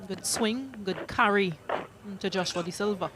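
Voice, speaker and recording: A woman giving brisk, matter-of-fact live cricket commentary and reading out the score. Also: mid-range voice, noisy background. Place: cricket ground commentary position.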